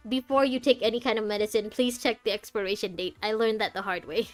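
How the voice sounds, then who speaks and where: A woman giving live playthrough commentary, chatting casually to viewers and reacting aloud in a jokey, expressive way. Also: medium pitch, quick pace. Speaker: game streamer. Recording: music behind the voice. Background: game music.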